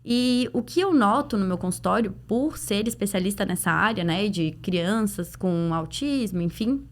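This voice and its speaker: A woman's voice: medium pitch, expressive, moderate pace. A woman with a Brazilian Portuguese accent answering in a podcast interview as a clinician guest, explaining things conversationally with illustrative examples. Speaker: guest, pediatric dental clinician.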